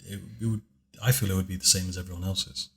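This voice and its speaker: Very deep-voiced soft-spoken man. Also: fairly even intonation, fast. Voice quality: very deep, low voice. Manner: soft-spoken, hesitant, with many fillers and restarts. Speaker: video creator giving commentary. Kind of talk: casual commentary chat.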